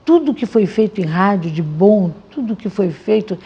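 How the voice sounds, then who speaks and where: A woman's voice: mid-range voice, lively intonation, moderate pace, slightly rough. A woman recalling memories with conviction in a documentary interview. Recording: dull lo-fi recording.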